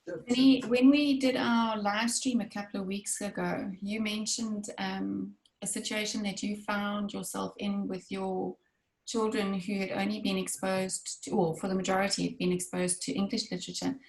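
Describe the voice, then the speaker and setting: A woman hosts the interview and prompts her guests in a friendly way. Her voice has a gravelly edge, and the echoey room makes it sound roomy. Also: medium pitch, flat delivery, moderate pace. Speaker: interview host. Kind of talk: conversational interviewing.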